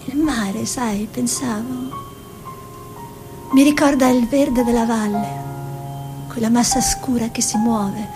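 An actress playing an impulsive, gossipy, tenderly scolding artist wife in an Italian radio drama, with a theatrical delivery. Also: female voice, fairly high pitch, fairly even intonation, slow, pauses often, over music. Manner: theatrical, shifting between exclamations and soft musing.

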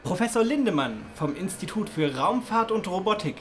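Man as an earnest, higher-pitched schoolboy son. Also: big pitch swings, moderate pace, faint music behind. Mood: matter-of-fact. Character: earnest, eager schoolboy son dreaming of space. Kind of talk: German radio play acting.

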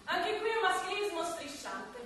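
Whiny-earnest complaining woman, radio comedy, high voice, echoey and noisy. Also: sing-song, a little slow, pushed and bright, exaggerated voice. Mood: aggrieved, earnest. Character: earnest, aggrieved woman obsessed with negative energies. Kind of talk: comic radio stage drama. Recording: very echoey, distant, noisy hall.

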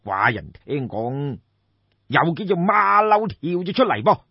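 A man's voice: a little high, sing-song, creaky, slightly rough, full character voice. A man with a Cantonese accent voicing a boastful, jovial but ruthless ancient king in an audiobook. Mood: smug, menacing. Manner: boastful, drawn-out, laughing. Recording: dull, roomy lo-fi recording.

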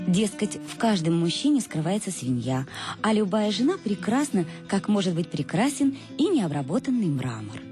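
An actress playing a reflective, embittered middle-aged wife in a radio play, confessing with irony to her absent husband in a husky voice. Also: medium pitch, big pitch swings, normal speed, over music, close to an everyday voice. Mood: musing, ironic. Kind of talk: dramatic first-person monologue in a radio play. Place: dry studio.

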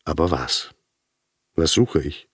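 An actor playing a brooding middle-aged publisher's inner voice in a radio drama, musing and questioning. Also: male voice, very deep voice, slow, fairly bright tone, slightly rough, dry recording, clean studio sound, full character voice.

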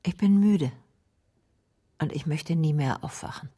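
An actress in a radio drama playing a tired, sickly middle-aged mother who speaks bluntly to her grown son, with a husky, creaky voice. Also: low-pitched, a little slow, mellow. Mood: exhausted.

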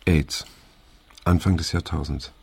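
An actor playing a weary, reflective middle-aged man in naturalistic radio drama, speaking haltingly and pensively with a gravelly, husky voice. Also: extremely low pitch, steady tone, darkish tone, full character voice. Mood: resigned, somber. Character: weary middle-aged male survivor of a hippie circle, reflective.

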